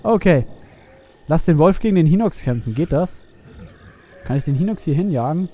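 A man's voice: fairly high pitch, expressive, normal speed, dark tone, muffled recording. A man giving casual, playful live commentary as he streams a video game and chats with viewers.

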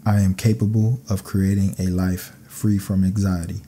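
Male narrator, low voice, very even intonation, calm guided affirmations, soft ambient noise behind. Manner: calm, soothing, measured delivery. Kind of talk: scripted sleep meditation affirmations.

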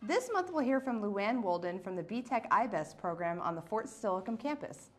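A woman with a mid-range, very animated voice presents as a co-host. She delivers scripted introductions with lots of melodic movement. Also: mid-range voice, moderate pace.

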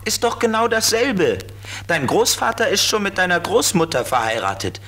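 An actor voices a little know-it-all flying creature in a children's audio drama. The delivery is playful and very animated, explaining things with cheek. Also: medium pitch, normal speed. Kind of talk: children's radio play acting.

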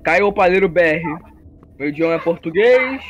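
A young man chatting with friends over voice chat while gaming, excitable and swearing, trailing off mid-sentence. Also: high voice, normal speed. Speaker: gamer playing with friends. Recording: noisy voice-chat mic.

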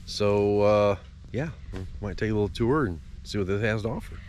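A man's voice: lowish voice, moderate pace, slight background noise. A man in his forties chatting casually for a travel vlog, filming himself outdoors at a lakeside lighthouse with a handheld camera. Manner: easygoing, chuckling, lively. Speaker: travel vlogger. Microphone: handheld camera at arm's length.

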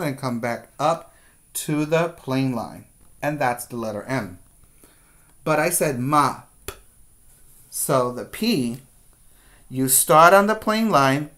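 A middle-aged man giving a preschool lesson as a teacher, with deliberate, repetitive step-by-step instructions, recorded indoors on a webcam. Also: a little high, a bit bright. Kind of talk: instructional video lesson for young children. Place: small room indoors. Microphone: webcam at desk distance.

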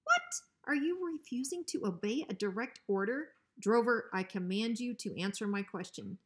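A woman's voice: a little high, expressive, normal speed, close to an everyday voice. Lady doing bossy pompous guy dog from a kids book, blustery but chicken. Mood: indignant. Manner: officious, blustering. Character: pompous, bossy, cowardly male ranch guard dog. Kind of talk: children's storybook reading.

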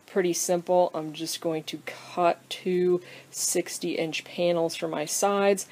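A woman talking through a cabinet build in her wood workshop in a relaxed, casual how-to style, thinking aloud with frequent ums. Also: low-pitched. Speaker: woodworker explaining a build. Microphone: camera pointing down at the workbench, speaker off-screen.

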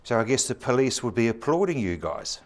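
A man's voice: mid-range voice, very animated, brisk. A man, an interviewer asking short, prompting questions.

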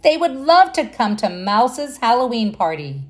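Woman reading a storybook, slow and animated. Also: fairly high pitch. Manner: expressive, addressing young listeners. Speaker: storybook reader. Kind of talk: children's read-aloud narration.

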